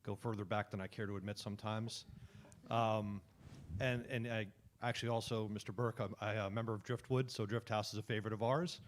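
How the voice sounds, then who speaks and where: A man's voice: fairly low pitch, steady tone, fairly quick, a little hiss or hum. A middle-aged man running for local office, speaking appreciatively and chattily to a panel. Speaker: local candidate. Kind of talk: casual remarks to a panel.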